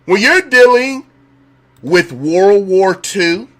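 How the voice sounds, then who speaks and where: A man with a slightly hoarse voice hosts a casual live call-in show from his home. He speaks slowly in a fairly high voice. He tends to repeat his questions for emphasis. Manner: relaxed, chatty, repeats questions for effect. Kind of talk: casual live-stream talk.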